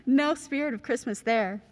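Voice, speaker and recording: A woman's voice: sits high, measured pace. A woman playing a chirpy co-announcer, reading scripted sponsor banter for a radio show. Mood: cheerful, promotional.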